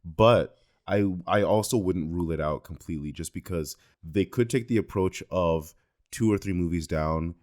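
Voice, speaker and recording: Very deep-voiced man chatting casually. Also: normal speed, a little mellow. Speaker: podcast host. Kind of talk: casual film discussion.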